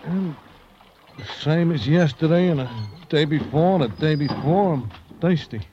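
An actor playing a simple, thirst-maddened sailor in an old radio drama, rambling and pleading. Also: male voice, fairly high pitch, moderate pace, warm tone, lightly acted. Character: delirious, thirsty, simple working-class sailor in a lifeboat. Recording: muffled, noisy old radio recording.

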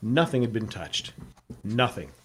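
An actor playing a terse, wry adventurer and reporter in an old-time radio noir drama, clipped and deadpan. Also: male voice, fairly low pitch, unhurried, slightly rough, exaggerated voice. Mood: deadpan narration. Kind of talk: old-time radio noir acting and first-person narration.

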